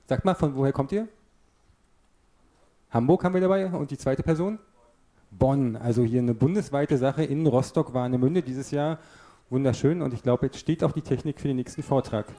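A man's voice: medium pitch, normal speed, dark tone. A man hosting a project showcase, chatting upbeat with presenters and audience. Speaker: event host.

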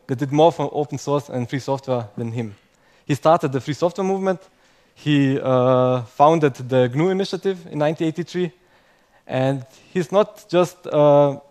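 A man's voice: on the high side, darkish tone. Self-mocking male conference speaker, non-native. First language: not English. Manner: chatty, self-mocking, many "okay?" tags. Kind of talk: conference talk to a live audience.

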